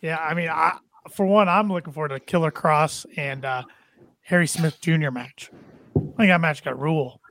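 Chatty male podcast co-host, slightly high voice, dry close mic. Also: fairly even intonation, a bit bright, no echo. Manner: chatty, quick agreeing yeps, eager. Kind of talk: casual wrestling podcast chat.

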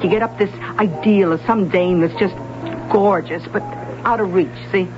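A woman's voice: medium pitch, moderate pace, lightly acted. A woman in a radio drama playing a wisecracking motherly older bar owner, blunt and slangy, on an old broadcast recording. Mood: pressing. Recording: muffled old radio broadcast, music behind.

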